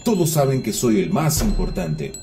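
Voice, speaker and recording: A man's voice: medium pitch, very animated, normal speed, creaky, mellow. A man voicing a proud, quarrelsome old sun spirit in a children's cartoon, boastful and indignant. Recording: music behind the voice.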